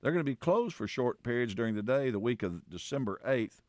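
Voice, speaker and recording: A man co-hosting a casual radio chat in a halting, folksy way. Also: mid-range voice, animated, darkish tone, no echo, clean studio sound. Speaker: radio show co-host.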